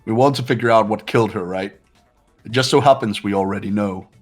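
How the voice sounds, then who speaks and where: A man's voice: on the low side, brisk, faint music behind, close to an everyday voice. A man voicing a formal, pompous, calculating young man with a superior manner, in a fan-voiced game. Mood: certain. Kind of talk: fan-voiced visual novel game acting.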